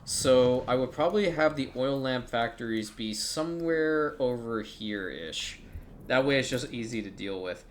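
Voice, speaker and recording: Guy rambling thru his city builder run, thinking out loud, cusses a bit. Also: mid-range voice, animated, measured pace, a little hiss or hum. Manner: rambling, thinks aloud, frequent restarts and swearing. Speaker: strategy game streamer. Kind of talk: casual gameplay commentary.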